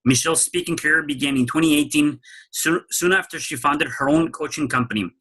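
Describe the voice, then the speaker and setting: A man's voice: a little high, brisk, pushed and bright, breathy edge, poor audio. A man hosting an interview podcast, introducing his guest and asking questions with many fillers and restarts. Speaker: podcast host.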